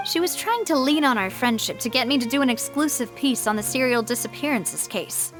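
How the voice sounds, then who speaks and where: A self-assured young lady boss from a game cutscene. Her voice sits high, with an expressive, breezy, playful delivery over faint music. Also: brisk. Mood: fond, chatty. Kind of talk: video game voice acting.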